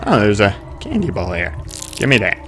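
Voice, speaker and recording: Male gaming commentator, very animated pitch, casual muttered asides with sudden exclamations, music behind. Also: normal speed. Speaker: gaming video host. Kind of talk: casual let's-play commentary. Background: game music and sound effects.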